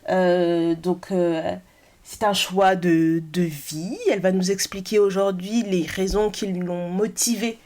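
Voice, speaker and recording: A woman's voice: fairly low pitch, steady tone, slow pace, darkish tone. A woman hosting a podcast, introducing her guest in a friendly, chatty way with frequent fillers. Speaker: podcast host. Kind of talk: casual podcast intro and questions.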